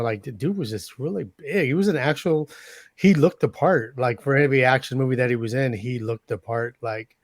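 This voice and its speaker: A man's voice: mid-range voice, dry recording. Man chatting loosely, dry close sound. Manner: loose, many fillers, easygoing. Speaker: podcast co-host. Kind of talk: casual podcast chat.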